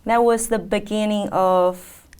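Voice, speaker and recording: A woman's voice: medium pitch, fairly even intonation, a little mellow, clear, resonant tone. Chinese-born woman answering interview questions. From China. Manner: thoughtful, many fillers and pauses. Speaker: guest, teacher.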